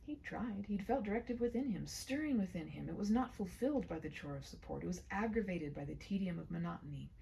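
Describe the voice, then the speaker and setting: A woman reading her own short story aloud in a hushed, deliberate way, often slipping into whispers, with slow phrasing and dramatic pauses. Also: on the low side, lively intonation, gravelly, slight background noise, low-quality recording. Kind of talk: read-aloud of a literary short story, soft and ASMR-leaning.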